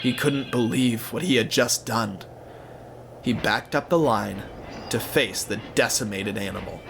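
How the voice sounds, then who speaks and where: A man's voice: fairly low pitch, a little slow, creaky, background noise. A man narrating a scripted story in a suspenseful, theatrical way. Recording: music behind the voice.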